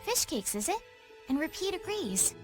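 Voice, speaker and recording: A woman voicing a gentle, courteous, earnest young adventurer in a video game. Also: very high voice, very animated, moderate pace. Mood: polite. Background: background music.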